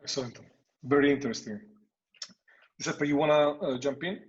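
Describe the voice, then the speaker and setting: A male host introducing a guest formally, pausing often with fillers. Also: on the high side, slightly rough. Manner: formal, many pauses with uh. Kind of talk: formal introduction and interview questions. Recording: dull lo-fi sound.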